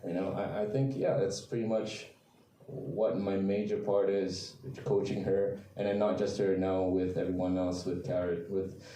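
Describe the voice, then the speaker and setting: Man, voice coach, low mellow voice, echoey convention hall, distant mic. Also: moderate pace, slight background noise. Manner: animated, laughing, restarts sentences. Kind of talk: convention panel talk.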